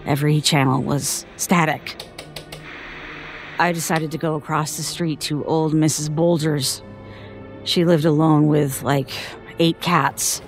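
An actress playing a shaken woman who haltingly recounts a traumatic childhood ordeal in an audio drama monologue. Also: low-pitched, steady tone, soft background music. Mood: fearful, upset. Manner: halting, haunted storytelling. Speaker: interviewee.

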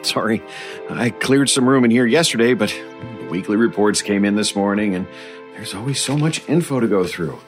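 Male actor as government scientist, lowish voice, hesitant, apologetic, earnest, audio drama, faint music behind. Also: moderate pace, close to an everyday voice. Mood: flustered, polite. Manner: hesitant, fumbling, conciliatory. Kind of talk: naturalistic audio drama acting.

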